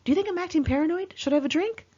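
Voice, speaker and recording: Woman putting on an anxious, high-pitched paranoid party girl. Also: racing, warm tone. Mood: anxious, fretful. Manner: fretful, insistent questioning. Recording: muffled lo-fi home recording.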